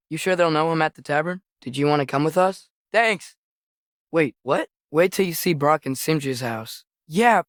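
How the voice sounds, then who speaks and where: A man's voice: on the high side, no room sound, studio quality, lightly acted. A young male actor playing an earnest, eager teenage boy adventurer in a video game, speaking conversationally with small hesitations. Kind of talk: video game character acting.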